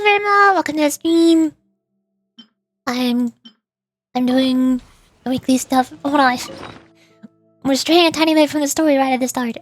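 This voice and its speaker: A woman with a high voice hosts a casual gaming stream, with music playing faintly behind her. She rambles playfully and mutters small asides to herself as she plays. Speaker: livestream host, VTuber playing a video game.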